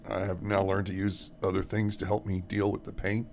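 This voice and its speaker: A man playing a grieving widower and recovering addict in a radio drama, subdued and halting. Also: sits low, little pitch movement, darkish tone, slight background noise. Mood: sincere, pained.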